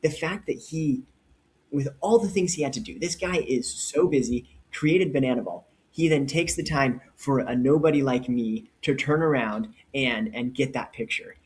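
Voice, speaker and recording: A man co-hosts a casual podcast and tells his stories with eager enthusiasm. His voice sits at an ordinary middle pitch, and he shares excited anecdotes. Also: moderate pace. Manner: enthusiastic storyteller. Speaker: podcast co-host.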